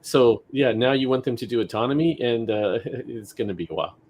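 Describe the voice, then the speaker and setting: A man's voice: mid-range voice, fairly quick, darkish tone, highs cut off, slight background noise. Man in casual podcast discussion, mid-range voice. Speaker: podcast co-host.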